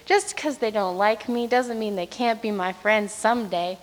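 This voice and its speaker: Woman as a hopeful, high-pitched storybook elephant. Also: normal speed, natural voice. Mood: earnest. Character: friendly, hopeful, kindhearted male elephant. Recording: reverberant hall.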